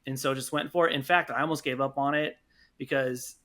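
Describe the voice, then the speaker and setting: A man's voice: mid-range voice, steady tone, brisk, pushed and bright. A man speaking casually and enthusiastically as a guest in an interview. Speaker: podcast guest, audio drama producer.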